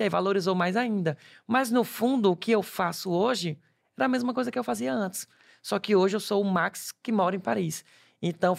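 A man's voice: high voice, creaky. A man in his twenties from Ceará with a Cearense accent, telling stories as a guest in a casual chat. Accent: Cearense Brazilian Portuguese. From Ceará, Brazil. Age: 20s. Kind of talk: casual conversation.

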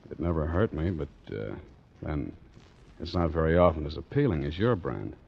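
An actor playing a tough, wry ship's master who narrates his adventure in deadpan 1940s radio noir style. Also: very deep voice, normal speed, creaky, breathy edge, full character voice. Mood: low, seductive. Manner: deadpan, wisecracking. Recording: muffled 1940s radio recording with music.

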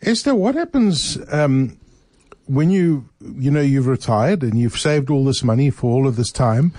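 A man hosting a radio call-in show from the studio, relaxed and loose, with frequent fillers. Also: mid-range voice, measured pace. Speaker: radio host.

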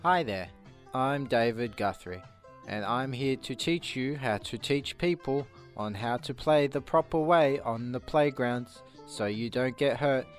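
A man acts out an earnest instructional video host from a cheesy tape. He is slow-witted and over-explains in repetitive loops. Guitar music plays behind his mid-pitched voice. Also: lightly acted. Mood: earnest, upbeat.

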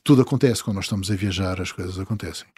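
A man in his fifties from the Azores speaks with a European Portuguese accent and a fairly low voice. He tells his travel stories at an easy pace, chuckling now and then, and his sentences often fade off quietly. The recording is dry and close, with no room sound. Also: very fast, breathy edge, no room sound. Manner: storytelling, chuckling, trailing off quietly. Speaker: traveller guest. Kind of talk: relaxed interview conversation.

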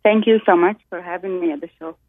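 A woman from Saudi Arabia, a guest on a radio show, telling her personal story in an earnest, soft-spoken way. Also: fairly low pitch, steady tone, fast talker. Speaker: call-in guest. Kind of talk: personal testimony. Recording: tinny phone line.

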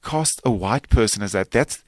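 A man's voice: lowish voice, expressive, moderate pace, darkish tone, no echo, studio quality. Earnest South African man, rambling restarts. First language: Afrikaans. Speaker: filmmaker and actor guest. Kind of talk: radio interview.

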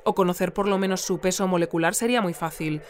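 A woman's voice: fairly low pitch, quick pace, lightly acted. A woman playing an earnest, easily flustered news bulletin presenter in an audio fiction. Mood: questioning. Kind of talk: fiction podcast news broadcast parody.

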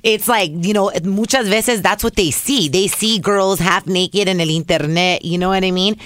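A woman's voice: mid-range voice, normal speed, a bit bright, dry recording. A woman co-hosting a podcast in casual chat, switching freely between English and Spanish, upbeat and playful. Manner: upbeat, playful, reads quotes aloud with emphasis. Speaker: podcast co-host.

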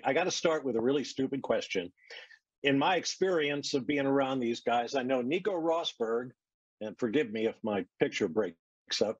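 A man's voice: mid-range voice, breathy edge, no echo. A man doing a broadcast motorsport interview as the interviewer, with a polished, wordy delivery and wry asides. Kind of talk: broadcast interview, scripted intro. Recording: dull, muffled lo-fi audio.

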